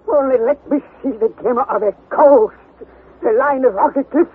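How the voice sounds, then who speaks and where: Stubborn older professor uncle for radio drama: very high, commanding, defiant, on a tinny narrow-band recording. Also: male voice, normal speed, slightly rough. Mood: defiant, vehement. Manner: imperious, defiant, shouting. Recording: tinny band-limited old radio sound.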